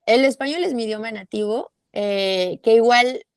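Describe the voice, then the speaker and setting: A woman's voice: fairly high pitch, moderate pace, clean tone, no room sound, clean studio sound. A young woman with a Mexican accent, a guest talking through her answers in a casual interview, with many fillers and restarts. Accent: Mexican Spanish.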